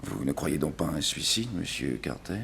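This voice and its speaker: A man's voice: very deep voice, lively intonation, normal speed, vocal fry, fairly bright tone, heavily acted. An actor playing a solemn, matter-of-fact male doctor in a 1960s French radio drama, grave and clinical. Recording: faint noise on old radio recording.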